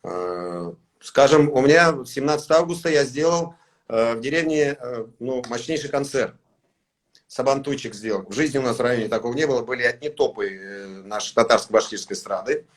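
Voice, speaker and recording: Halting man. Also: mid-range voice, animated. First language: Tatar. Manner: halting, searching for words, soft-spoken. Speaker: singer, interview guest. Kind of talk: casual interview talk.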